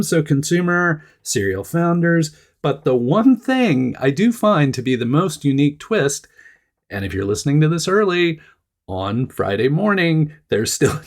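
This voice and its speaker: Nasal, monotone man hosting news. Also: fairly high pitch. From Oregon, United States. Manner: chatty, enthusiastic, laughs between phrases. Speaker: startup news podcast host. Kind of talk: casual solo news commentary.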